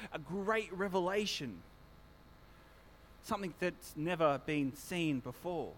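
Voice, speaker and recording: Loud, high-voiced pastor. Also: male voice, slight background noise. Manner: chatty, self-mocking, joking with the congregation. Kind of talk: church notices and sermon. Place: church hall. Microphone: handheld or stand microphone.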